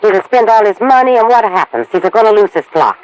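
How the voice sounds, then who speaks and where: A woman's voice: medium pitch, expressive, a little fast, darkish tone, close to an everyday voice, rough recording. A woman playing a blunt, suspicious immigrant Italian farm wife with an Italian accent and broken English in an old American radio drama. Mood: blunt, grumbling. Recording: old radio broadcast recording.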